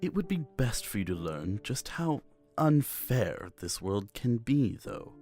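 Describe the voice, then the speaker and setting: A man playing a cold, commanding high priest in a fantasy visual novel, formal and deliberate, over music. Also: very animated, mellow. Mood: grave. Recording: music under the voice.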